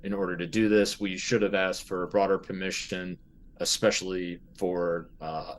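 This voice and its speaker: A man playing a tabletop role-playing game, thinking aloud in casual, halting talk as he plans in character. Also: low-pitched, flat delivery, slight background noise.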